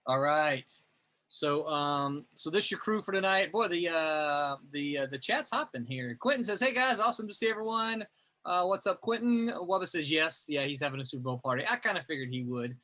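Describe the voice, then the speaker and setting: A man's voice: on the high side, normal speed, bright, forceful tone. A man hosting a casual livestream, chatty and reading out comments from viewers. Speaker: host, comics writer.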